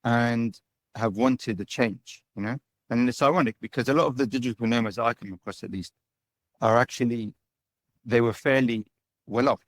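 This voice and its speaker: A man's voice: medium pitch, normal speed, no room sound. A middle-aged man from the UK, a guest giving chatty, casual interview answers and tagging his points with "right?". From United Kingdom. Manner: chatty, tags points with "right?" and "you know". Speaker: guest, digital nomad and business owner.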